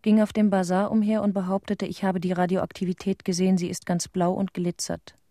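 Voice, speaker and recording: An actress playing a troubled woman inspector recalling events in a radio play monologue, measured and reflective. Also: medium pitch, level tone, mellow, dry recording, clean studio sound, lightly acted. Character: reflective female nature-protection inspector, guilt-troubled witness.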